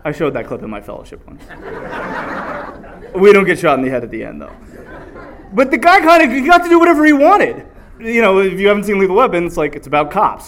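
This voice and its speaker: A man preaching a sermon, reading scripture aloud and drawing answers back from the congregation. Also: high voice. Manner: animated call-and-response preaching.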